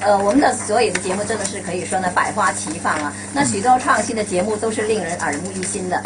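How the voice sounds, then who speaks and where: A woman's voice: mid-range voice, fast talker, noisy background. A woman hosting a radio interview, prompting her guests with questions. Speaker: programme host and interviewer.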